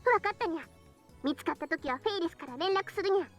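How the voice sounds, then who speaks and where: A young catgirl from an anime visual novel, extremely high and very quick. She is cutesy and playfully earnest, tacking cat-like endings onto her sentences. Soft music runs underneath. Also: lively intonation. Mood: apologetic, earnest. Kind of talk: Japanese visual novel voice acting. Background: game music.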